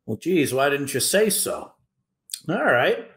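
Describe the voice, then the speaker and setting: A man voicing a gruff, folksy middle-aged woman librarian in a tabletop game. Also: on the high side, big pitch swings, slow pace, a bit bright, exaggerated voice. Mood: folksy, blunt. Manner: folksy and blunt.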